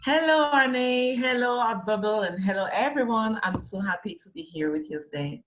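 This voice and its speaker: A woman from Cameroon, a comics artist and festival organizer, answering interview questions over a video call, unhurried, often rephrasing as she thinks. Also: on the high side, soft background music, rough recording. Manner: speaks slowly and searchingly, with fillers and rephrasing. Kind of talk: remote interview, thoughtful casual answers.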